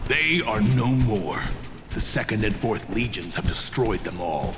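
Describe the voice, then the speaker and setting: Game role: dutiful soldier, formal and earnest, long reverb, music underneath. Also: male voice, on the low side, expressive, unhurried. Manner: formal, dutiful military delivery. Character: loyal, earnest soldier reporting to his empress. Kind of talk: dramatic video game voice acting.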